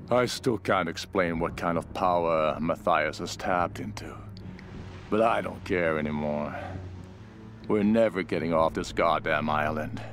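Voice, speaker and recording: A man's voice: low voice, vocal fry, slight background noise. An actor playing a ruthless, cynical cult henchman and survivor, narrating a video game journal in a grim, world-weary way. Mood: bleak, resigned. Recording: music behind the voice.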